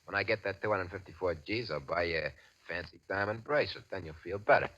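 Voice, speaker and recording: An actor playing a cocky, greedy American racketeer who talks in boastful gangster slang, in the style of 1940s radio crime drama. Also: male voice, sits low, fast, a bit bright. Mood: smug, domineering. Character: cocky, greedy small-time racketeer man with a swaggering streak. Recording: dull, band-limited old radio recording.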